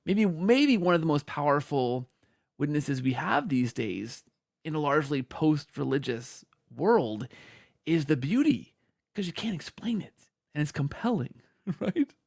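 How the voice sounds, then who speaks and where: A man's voice: on the high side, very animated, unhurried, gravelly, a little mellow, no room sound, studio quality. A man hosting a conversational interview podcast, talking emphatically and often checking in with "right?". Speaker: podcast host.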